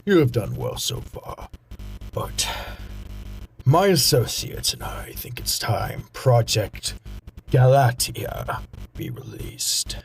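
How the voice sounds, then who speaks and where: A man's voice: medium pitch, wildly swooping pitch, slow, creaky, slight background noise, exaggerated voice. A man playing a cold, commanding villain mastermind in an audio drama, with a pompous, imperious delivery.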